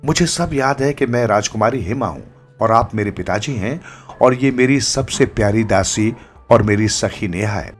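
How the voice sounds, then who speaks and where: A man's voice: fairly low pitch, normal speed, soft background music, natural voice. A man voicing a young, uneasy princess in an earnest, pleading manner for a moral tale. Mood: reassuring. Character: young princess, secretly frightened and reluctant to marry.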